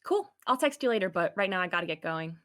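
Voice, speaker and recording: A woman's voice: fairly low pitch, lively intonation, fast talker, bright, forceful tone. Woman playing an easygoing teasing college girl. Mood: amused, friendly. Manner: casual. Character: easygoing, teasing young female college crush. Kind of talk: table read of a pilot script.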